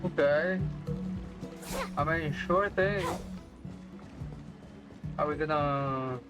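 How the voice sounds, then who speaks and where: A man's voice: on the high side, extremely slow, lo-fi sound. A man streaming a game, reacting casually in short, slangy interjections. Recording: game music behind the voice.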